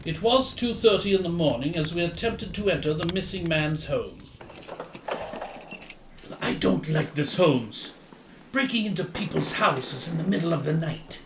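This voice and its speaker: A man acts a fussy, loyal gentleman sidekick in an audio detective play. His voice sits high and jumps about animatedly, full of proper bluster. It is recorded in an echoey space with faint noise. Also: lively intonation, a little slow. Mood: uneasy, earnest. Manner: blustery, proper, old-fashioned exclamations. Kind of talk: audio theatre detective drama. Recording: roomy echo, faint noise and clicks.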